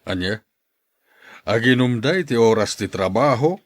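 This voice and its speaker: A man playing a stern, proud older plantation boss in an audio drama, with a husky voice. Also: mid-range voice, lively intonation, a little slow, no room sound, studio quality.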